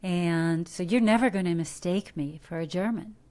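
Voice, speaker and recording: Earnest American woman, lowish voice. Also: normal speed. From Detroit, USA. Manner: earnest, coaching, animated with rhetorical questions. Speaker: guest, actor. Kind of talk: podcast interview, motivational talk.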